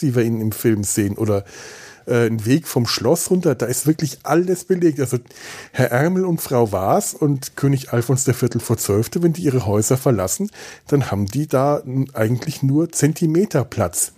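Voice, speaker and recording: Bright, slightly husky man thinking aloud. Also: mid-range voice. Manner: halting, repeats words while searching. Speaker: podcast host. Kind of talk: casual spoken essay, thinking aloud.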